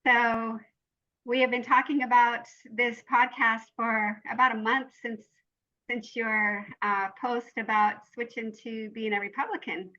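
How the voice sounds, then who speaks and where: A woman's voice: a little high, flat delivery, a little slow, sharp tone, dull audio, faint music behind. A woman hosting a podcast, asking questions in a friendly, encouraging interviewer manner. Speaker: podcast host. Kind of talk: casual interview.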